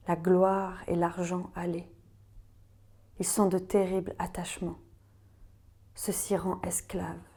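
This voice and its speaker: A woman yoga teacher, a native French speaker, leading guided relaxation in a soft, calm, breathy voice that often sinks close to a whisper. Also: on the low side. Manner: slow, calm, gentle. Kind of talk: guided relaxation and meditative reading.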